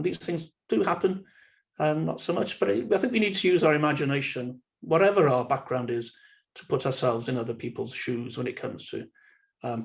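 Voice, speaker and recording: A man with a Welsh accent gives an online lecture, thinking aloud as he goes. His voice sits at a middle pitch, and his speech is unhurried and dotted with uhs and ums. He sounds like he is recorded on a computer mic for a webinar. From Wales. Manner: measured, thoughtful, many ums and uhs. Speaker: university lecturer.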